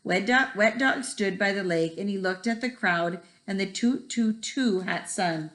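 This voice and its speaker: A woman's voice: medium pitch, a little slow, fairly bright tone. A woman reading a children's picture book aloud expressively, with a rhythmic delivery that draws out repeated words. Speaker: read-aloud storyteller. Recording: echoey room.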